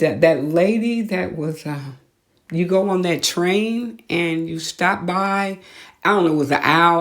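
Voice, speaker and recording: An American woman with a fairly low voice delivers a casual podcast monologue. She is animated and opinionated, using blunt, slangy phrasing as she speaks straight to her listeners. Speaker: podcast host.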